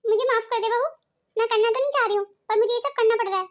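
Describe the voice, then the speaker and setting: Woman voicing a very high-pitched scheming mother-in-law. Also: quick pace. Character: scheming but guilt-ridden middle-aged Indian mother-in-law. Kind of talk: Hindi cartoon family drama dialogue.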